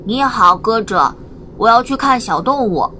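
High, bright, bossy little boy, played by a woman. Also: expressive, moderate pace. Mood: demanding. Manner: demanding, defiant. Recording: faint background noise, vehicle ambience.

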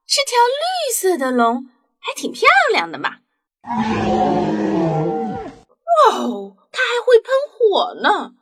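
A woman playing a curious, excitable and unafraid little princess in a children's radio drama. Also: high-pitched, takes it slowly, pauses often, very noisy, full character voice. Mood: amazed, delighted. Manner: wide-eyed, exclaiming. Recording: music behind the voice.

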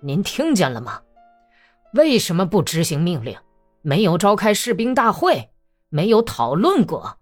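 A woman's voice: lowish voice, animated, unhurried, darkish tone. A woman acting out an irate, domineering old male general in an audiobook, imperious and scolding, with music behind. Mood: furious shouting. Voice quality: hoarse when angry.

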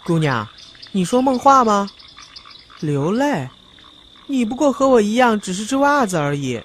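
A performer playing a teasing, sharp-tongued, talkative sock with a sarcastic, chiding manner in a radio drama. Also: medium pitch, big pitch swings, measured pace, a little hiss or hum. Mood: mocking. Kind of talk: Chinese radio drama dialogue acting.

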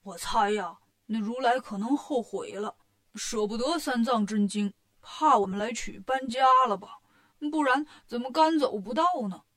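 A woman voicing a simple, good-natured, lazy male pig disciple in a blunt, drawn-out manner for a story. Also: fairly high pitch, lightly acted. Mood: speculating.

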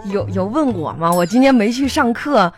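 A naive young student sidekick in a comedy radio play. He whines and exclaims in an earnest, lovesick way, his pitch jumping around a great deal. Music runs behind him. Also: animated, moderate pace. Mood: earnest, curious. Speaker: comedy radio drama host. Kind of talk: live comic radio play acting.

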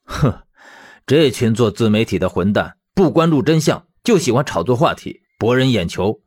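A man's voice: lowish voice, measured pace. A man voicing a hot-tempered, righteous young former auxiliary policeman in an audiobook, blunt and aggrieved in manner. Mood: indignant. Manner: blunt, indignant, swearing.

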